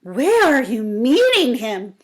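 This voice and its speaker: A hungry storybook owl, played by a woman reading aloud talks in a high, shrill voice that breaks into hoots. The lines come slow and sing-song. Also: sing-song. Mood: coaxing. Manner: shrill, hooting.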